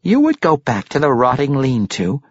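A shrewd, amused old patriarch from a fantasy storybook, as a man acts him out, speaking with courtly flourish. The pitch is raised and extremely animated, and the recording is dry and slightly dull. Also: moderate pace, breathy edge, dry recording. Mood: bargaining. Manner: courtly, theatrical, amused, flowery phrasing. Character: jovial, shrewd, courtly older crime-boss grandfather.

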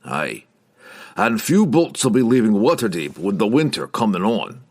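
Guy doing a gruff impatient dwarf for a fantasy audiobook, growly n blunt, really swingy pitch. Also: sing-song, normal speed, full character voice. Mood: grim. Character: gruff, impatient, demanding dwarf warrior, male.

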